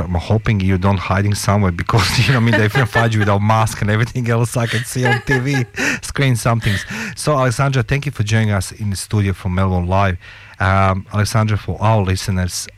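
A man's voice: fairly low pitch, steady tone, fairly quick, a little hiss or hum. A man hosting a business radio talk show from the studio, eager and rambling, with frequent restarts. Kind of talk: enthusiastic radio interviewing.

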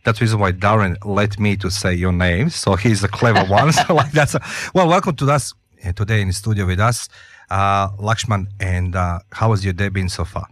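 A man hosting a studio interview whose first language is not English, jokey and halting in his phrasing. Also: on the low side, fairly bright tone, dry recording. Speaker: radio show host.

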